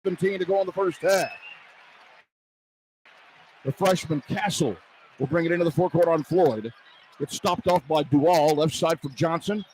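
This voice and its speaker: A man calling live basketball play-by-play on radio from a noisy arena, in excited, clipped phrases that follow the action. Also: a little high, moderate pace, pauses often. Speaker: play-by-play announcer. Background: loud arena noise.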